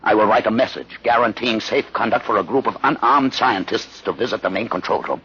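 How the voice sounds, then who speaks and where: An actor playing a grave, learned leader of outcasts in a solemn, measured 1950s radio drama style. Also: male voice, on the low side, sharp tone, breathy edge, exaggerated voice. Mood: measured, guarded. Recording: dull lo-fi old radio recording.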